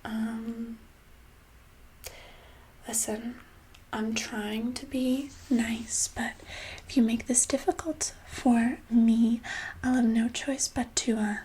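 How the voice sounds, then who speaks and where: A woman playing a controlling, passive-aggressive boss in a soft-spoken ASMR roleplay, breathy and slipping into whispers, condescending and casually menacing. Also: a little high. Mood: softly menacing. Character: controlling, passive-aggressive female boss holding an employee hostage.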